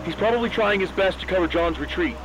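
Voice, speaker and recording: A man's voice: high-pitched, fast, over music, lightly acted, poor audio. A man playing an urgent, commanding survivor on lookout in a zombie audio drama. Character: brisk male lookout commanding defenders under attack.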